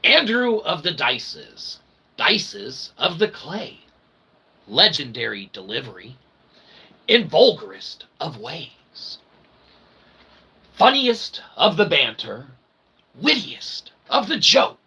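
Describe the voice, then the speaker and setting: A man's voice: fairly high pitch, wildly swooping pitch, takes it slowly, long pauses, sharp tone, breathy edge. A man hosting a rambling comedic livestream in a mock-pompous manner, using grandiose made-up words and repeated catchphrases. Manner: repeats stock catchphrases and tags like "okay?". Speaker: streamer and channel host.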